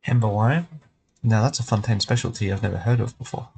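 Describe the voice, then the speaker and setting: A man's voice: fairly low pitch, brisk, creaky, a little mellow. A man streaming a video game, reading the dialogue aloud and commenting casually. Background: game music.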